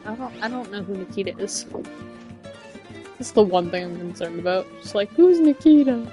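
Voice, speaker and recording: A woman's voice: mid-range voice, expressive, normal speed, dark tone. Woman casually commentating over faint music. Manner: offhand, blunt, muttering to self. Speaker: game streamer. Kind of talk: casual live gameplay commentary.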